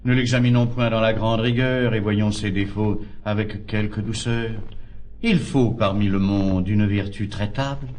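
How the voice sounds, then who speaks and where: Reasonable, measured gentleman played by a man in verse. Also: medium pitch, slight background noise, lightly acted. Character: reasonable, tolerant, philosophical friend urging moderation. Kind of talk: classical French verse stage acting.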